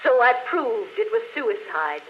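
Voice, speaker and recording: An actress playing a dead woman who confesses a murder on a phonograph record, speaking slowly in 1940s radio style. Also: on the high side. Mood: coolly confessing. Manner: calm, deliberate confession, later frightened. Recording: dull old radio broadcast with faint hiss.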